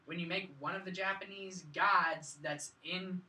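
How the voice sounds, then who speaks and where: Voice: medium pitch, fairly even intonation, a little slow, sharp tone. A young adult co-hosting a casual movie review discussion, arguing points insistently. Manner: argumentative, insistent. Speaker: movie review podcast co-host. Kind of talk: casual group film discussion. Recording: echoey room, distant from the mic.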